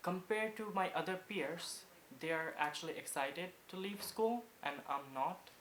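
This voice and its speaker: A woman's voice: lowish voice, measured pace, fairly bright tone. An eighteen-year-old woman, not a native English speaker, answering interview questions thoughtfully with some hesitation. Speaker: school leaver interviewee. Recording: echoey room with background noise.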